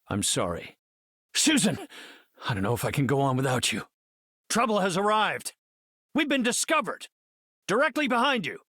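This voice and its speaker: An actor playing a brilliant middle-aged scientist superhero in a video game, articulate, formal and earnest in his measured delivery. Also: fairly high pitch, fairly bright tone, no room sound, clean studio sound. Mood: urgent, commanding. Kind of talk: scripted game character voice lines.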